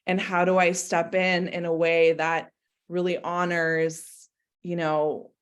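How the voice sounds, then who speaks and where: A woman's voice: lowish voice, level tone, takes it slowly, clean tone. A woman from Croatia and America, speaking as an interview guest in an introspective, conversational way peppered with "like". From born in Croatia, lived mostly in America. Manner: introspective, hedging with frequent "like". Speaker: interview guest, business coach.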